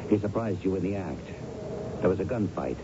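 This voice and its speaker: An actor playing a shrewd police lieutenant in a 1970s radio drama, questioning pointedly and persuasively. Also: male voice, low voice, expressive, fairly quick, dull audio. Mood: pressing. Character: shrewd, pressing male police lieutenant coaxing a confession. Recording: noisy band-limited old radio broadcast.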